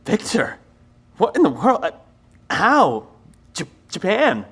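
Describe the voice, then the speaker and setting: An actor playing an anxious, protective middle-aged scientist leading survivors, speaking in an urgent, earnest, naturalistic audio drama style. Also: male voice, high-pitched, huge pitch swings, slow pace, fairly bright tone, husky, full character voice. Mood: bewildered, demanding.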